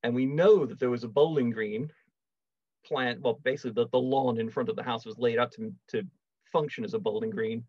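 A middle-aged man giving a measured online lecture on a video call, with wry asides. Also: medium pitch, dry recording. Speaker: lecturer, archaeology project manager. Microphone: video call webcam. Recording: dull, dry video call audio.

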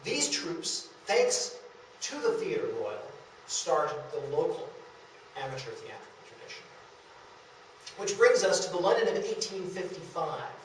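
Guy giving a history talk, slightly high voice, super echoey room, far from the mic. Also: very animated, a little slow. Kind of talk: public lecture with slides, storytelling. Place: small indoor lecture room at a historic house. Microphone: camera a few metres away. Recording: distant camera mic, very echoey room, faint noise.

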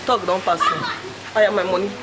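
A woman's voice: mid-range voice, a little fast, very noisy, lightly acted. A woman with a Nigerian accent playing an insistent, scolding woman who demands her money. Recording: echoey, distant, music behind.

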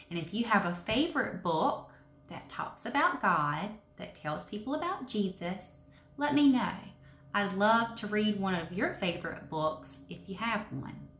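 Slow, lilting woman talking to children. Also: medium pitch. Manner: simple child-directed phrasing with questions and pauses. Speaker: children's story time host, Sunday school teacher. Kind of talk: children's devotional talk addressed to young listeners. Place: indoors in a home room with brick wall and wood cabinets. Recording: roomy echo, faint background noise.

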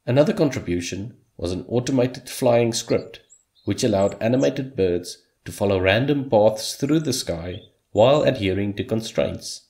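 A man whose first language is Afrikaans, narrating a scripted look back on a year of hobby game development with measured delivery and dry humor. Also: on the low side, expressive, a little mellow.

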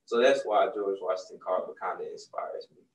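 A man's voice: low voice, brisk. A young man, a children's book author, talking casually in a bookstore, often asking "right?" as he tells a story. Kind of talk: casual talk to young audience. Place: bookstore, seated on a stool. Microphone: far camera. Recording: roomy sound from a distant mic.

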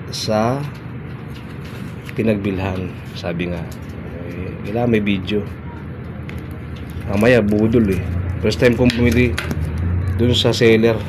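Low-voiced Filipino man, noisy recording. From Philippines. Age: middle-aged. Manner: repeats short phrases. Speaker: barber vlogger. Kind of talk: casual unboxing vlog chat.

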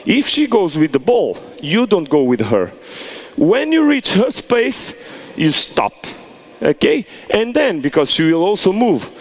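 A middle-aged man coaching players through a soccer drill in a large indoor hall, checking in with "okay?" as he goes. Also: high voice. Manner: animated instructions with frequent "okay?" check-ins. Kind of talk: on-field coaching demonstration.

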